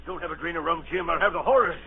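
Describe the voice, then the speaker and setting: An actor playing an ailing, fearful old sea captain in a radio drama, with rough sailor talk and pleading bluster. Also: male voice, fairly high pitch, rapid-fire, a little hiss or hum, natural voice. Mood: agitated, fearful. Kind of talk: 1930s radio acting. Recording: dull old radio recording with music.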